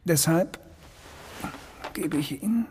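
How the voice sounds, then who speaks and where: An actor playing a cold, cultured criminal mastermind in a radio drama, speaking with pompous, deliberate precision and calm menace. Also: male voice, fairly high pitch, in a large hall, slight background noise. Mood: lofty, controlled.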